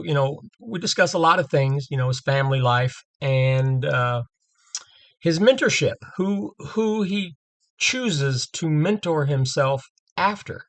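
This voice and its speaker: Male host, fairly high voice, friendly, halting with fillers. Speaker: interview host. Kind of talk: casual interview over a video call. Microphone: video call.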